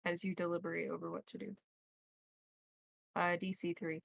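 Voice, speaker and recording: A woman's voice: on the low side, little pitch movement, moderate pace, vocal fry, no echo, studio quality. Woman game master narrating the story. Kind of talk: narrating a tabletop roleplaying game.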